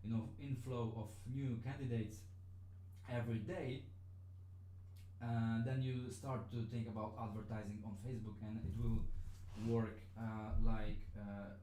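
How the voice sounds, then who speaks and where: A man's voice: on the low side, flat delivery, measured pace, warm tone, reverberant, a little hiss or hum, poor audio. A man whose first language is Polish, teaching a live-streamed lesson in a hesitant way, with many fillers and restarts. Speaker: online business trainer.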